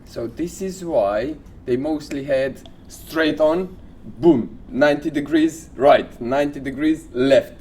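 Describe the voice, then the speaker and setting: A man co-hosting a podcast, casually sharing odd facts in halting, informal phrases. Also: fairly high pitch, a little mellow, slightly rough. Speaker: podcast co-host.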